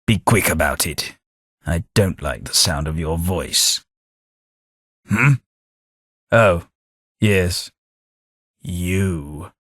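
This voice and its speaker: A man's voice: fairly bright tone, slightly rough, no room sound, exaggerated voice. An actor voicing a refined, sardonic old male ghoul companion in a game, dry and cutting in manner. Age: older. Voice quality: low, dry, measured and cutting. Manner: refined, sardonic, easily offended.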